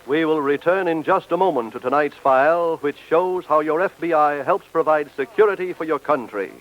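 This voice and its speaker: Higher-voiced vintage radio announcer, a man. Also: normal speed, slight background noise. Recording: dull lo-fi old radio recording.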